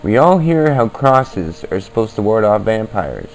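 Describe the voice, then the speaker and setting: A man hosting a podcast, reading scripted narration in an earnest, deliberate manner over a basic amateur recording. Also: on the low side, big pitch swings, poor audio. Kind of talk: scripted narration on the paranormal in old-time radio format. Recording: basic amateur equipment, music underneath.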